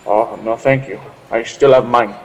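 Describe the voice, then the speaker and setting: An actor playing a cautious, diplomatic Spanish priest who speaks in a measured, formal way in a radio drama. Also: male voice, mid-range voice, fairly even intonation, slight background noise, lightly acted. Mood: hesitant. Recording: long hall reverb, music underneath.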